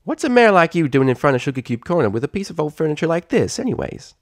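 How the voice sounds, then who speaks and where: A man's voice: on the high side, sing-song, fast, no echo, clean studio sound, voice pushed up. A man voicing a small, curious and bossy cartoon filly with folksy country talk, in a story reading. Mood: curious, polite.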